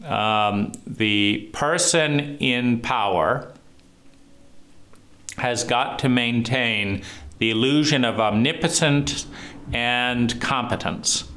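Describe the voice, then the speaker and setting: Man, deep voice, slow and deliberate, pauses to think, reflective monologue. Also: fairly bright tone. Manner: slow, deliberate, pausing often with fillers. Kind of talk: reflective monologue on trauma and psychology.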